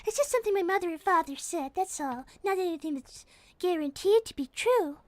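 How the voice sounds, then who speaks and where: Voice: very high voice, animated, a little fast, dry recording. A woman voicing a prim, polite little girl rabbit who speaks in a proper, formal way and loves gossip. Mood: gossipy. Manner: very proper, formal and dainty speech.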